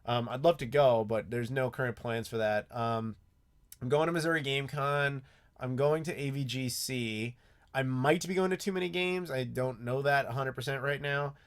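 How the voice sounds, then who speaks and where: A man's voice: mid-range voice, normal speed. Chatty man rambling on a live stream. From United States. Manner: chatty, rambling, lots of ums and restarts. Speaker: YouTube host answering live chat. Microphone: desk mic with clacking mechanical keyboard.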